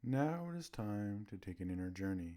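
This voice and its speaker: A man narrating a scripted guided relaxation as a meditation host, soothing, with gentle pauses between phrases. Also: fairly low pitch, sing-song.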